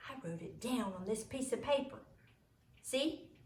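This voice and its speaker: Schoolgirl bully for a storybook , played by a woman: blunt, sly, taunting, pitched up, roomy sound. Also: lively intonation, measured pace, a little mellow, far from the mic. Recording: roomy echo, noisy home recording.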